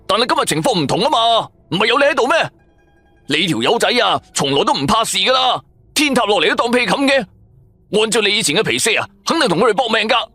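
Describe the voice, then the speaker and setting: A mouthy young Cantonese sidekick, voiced by a man, pitched high and swooping. He complains and protests with animated indignation in a radio drama, music playing underneath. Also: fast, no echo, full character voice. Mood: whining, protesting.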